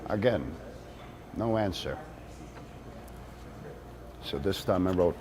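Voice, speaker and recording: A man acting a theatrical monologue as a wry former activist, speaking deliberately with dramatic pauses. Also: deep voice, expressive, crowd noise. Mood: wry, measured. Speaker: actor reading a monologue.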